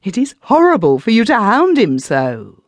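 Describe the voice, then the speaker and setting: A woman's voice: deep voice, a little slow, a little mellow, dry recording. A reader voicing a terrified female spirit medium who pleads in frantic, gasping bursts, as in an audiobook. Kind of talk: audiobook character reading.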